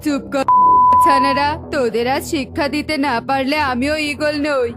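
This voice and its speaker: A woman's voice: sits high, fairly even intonation, normal speed, loud music behind the voice, natural voice. A woman voicing a haughty, heartless rich eagle in a cartoon, scornful and scolding. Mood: menacing.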